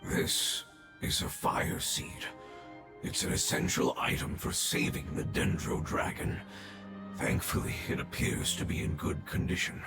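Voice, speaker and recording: An actor playing an ancient, dying guardian creature in a video game, weary and reverent, speaking haltingly in a breathy rasp. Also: male voice, close to an everyday voice. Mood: grave, hopeful. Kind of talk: scripted video game voice acting. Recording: reverberant voice under loud music.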